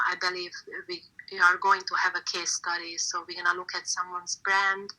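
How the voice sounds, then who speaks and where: A woman's voice: fairly low pitch, sharp tone, poor audio. A woman with a Hungarian accent, talking as an interview guest in casual chat, hesitant with many fillers.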